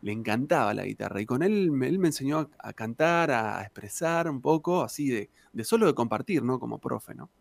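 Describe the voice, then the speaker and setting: An Argentine man in his thirties with a gravelly, creaky voice, telling his story as an interview guest. He rambles in a relaxed, chatty way, with fillers and questions tacked onto the ends of his lines. The sound is close and dry. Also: medium pitch, fairly quick, hardly any pauses, no echo. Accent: Argentine Spanish. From Argentina, Santa Cruz province. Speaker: tango singer, interview guest.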